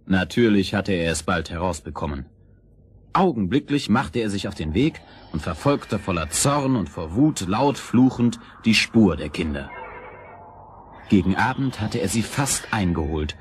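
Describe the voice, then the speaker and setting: Low-voiced male fairy-tale narrator. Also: lively intonation, measured pace, slight background noise. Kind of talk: scripted fairy-tale narration for children's audio play.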